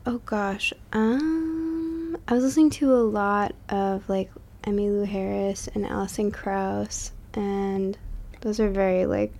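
A woman's voice: mid-range voice, fairly even intonation, slow, dark tone. A young woman from Massachusetts, a musician guest on a podcast, talking casually with lots of likes and ums. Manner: chatty, laughs often, many likes and ums.

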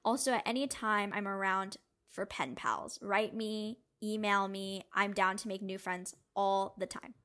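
Woman reader, plain and candid delivery, mid pitch, reads written answers aloud. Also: fairly even intonation, unhurried, a bit bright.

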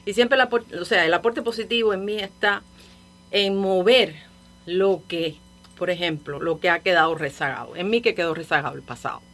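A woman with a Cuban Spanish accent speaks as a guest on a radio talk show. Her voice is on the low side, and she thinks aloud in long, wandering sentences with small restarts and soft laughs. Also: moderate pace. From Cuba. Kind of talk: casual radio conversation.

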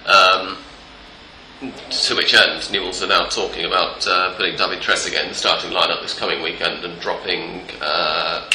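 Man, stuffed-up voice, podcast host, bright forceful tone, echoey living room. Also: deep voice, normal speed, creaky, slight background noise. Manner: relaxed, rambling with many fillers. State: stuffed up, congested. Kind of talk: casual football chat.

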